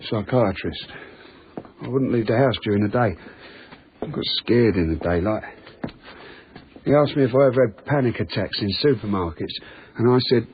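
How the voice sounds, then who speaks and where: An odd, withdrawn night-shift guard in a naturalistic radio play, acted by a man. He speaks in a fairly low, matter-of-fact deadpan. The recording is thin and tinny with some noise. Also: moderate pace, pauses often, natural voice. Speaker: radio drama actor. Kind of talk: naturalistic radio drama dialogue. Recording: tinny band-limited radio broadcast, audible noise.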